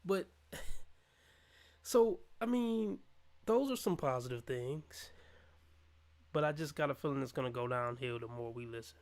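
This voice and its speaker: A man's voice: medium pitch, expressive, a little slow, pauses often, a little mellow. A man reacting on a livestream to a dating show, mocking and scornful as he rants over the clip. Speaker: livestream commentator. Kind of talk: reaction commentary.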